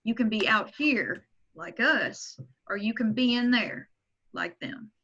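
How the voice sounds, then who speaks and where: A stern, blunt grandfather in his sixties lectures with hard-won authority, as a woman reads him. The voice is bright and higher than most, and the audio sounds dull and lo-fi, like a home call. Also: slow pace, slightly rough, exaggerated voice. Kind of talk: amateur table read.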